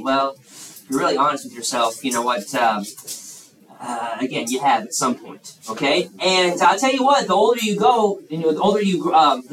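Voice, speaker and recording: Thirties guy preaching to kids, bit high voice, folksy and rambly, echoey room far from mic. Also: fairly bright tone. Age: 30s. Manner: folksy, chatty, many fillers and restarts. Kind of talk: youth sermon with audience questions.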